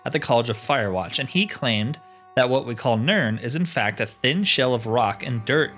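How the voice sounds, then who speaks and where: A man's voice: mid-range voice, animated, normal speed, a bit bright, soft background music, natural voice. Man reading as a formal, devout fantasy cult priest. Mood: earnest. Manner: formal, declarative, earnest.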